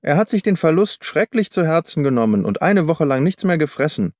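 A man's voice: a little high, big pitch swings, fairly quick, a little mellow, no room sound, studio quality, voice pushed up. A man voicing an old, gossipy, sorrowful housekeeper woman in an audiobook. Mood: sorrowful gossip. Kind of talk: audiobook character voice.

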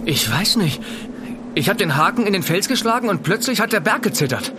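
A laconic male mountain climber in a radio drama. His pitch moves a lot as he talks. Loud outdoor noise surrounds him. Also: very animated, fairly quick. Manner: laconic, curt.

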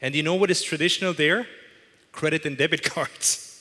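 Bright-voiced man giving a stage keynote. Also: a little high, fairly quick. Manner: emphatic, repeats phrases for effect. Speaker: keynote presenter. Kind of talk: corporate keynote speech. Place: large event hall with audience. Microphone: headset mic. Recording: long hall reverb.

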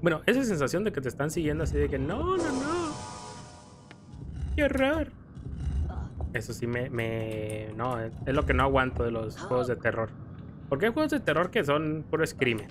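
A man's voice: a little high, sing-song, moderate pace. A young man with a Mexican accent chatting casually over gameplay, stammering and rambling as he tells stories. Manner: rambling, stammering storyteller who acts out scared quotes. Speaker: gameplay commentator. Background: game music.

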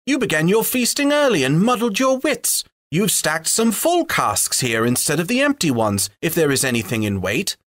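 A lively troop of young male elves, jovial and mocking, call out in bright, raised voices, as a male narrator plays them. Their speech swings widely up and down as they jeer. The sound is dry and close. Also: on the high side, moderate pace, hardly any pauses, no echo, voice pushed up. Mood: teasing. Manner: jeering, jovial. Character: merry young male elves, laughing and teasing.

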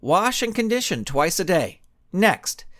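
A breezy, self-assured superheroine from a storybook, brushing off questions with easy confidence, as a man puts her on. Her voice sits high and swoops about. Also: very animated, a little slow, a bit bright, exaggerated voice.

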